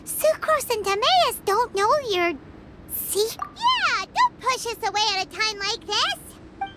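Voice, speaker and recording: A woman voicing a tiny, chatty, excitable childlike fairy companion in a video game, with chirpy, expressive delivery. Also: very high voice, slow pace, very noisy, exaggerated voice. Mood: insistent, loyal. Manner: chirpy, expressive, refers to herself by name. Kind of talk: scripted video game dialogue.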